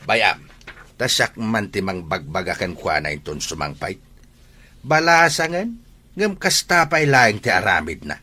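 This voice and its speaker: A man acts a grumbling old village father with an Ilocano accent in an audio drama. His voice is bright and forceful and swings widely in pitch, full of exasperation. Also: big pitch swings, measured pace, exaggerated voice. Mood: exasperated. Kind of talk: amateur Ilocano radio-style comedy drama acting.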